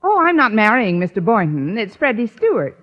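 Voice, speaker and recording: A dry, wisecracking American schoolteacher from a 1950s radio comedy. Her sharp, sarcastic lines swing widely in pitch. The old recording is muffled and lo-fi. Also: very animated, moderate pace. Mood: deadpan. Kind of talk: 1950s radio sitcom acting. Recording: dull vintage radio recording.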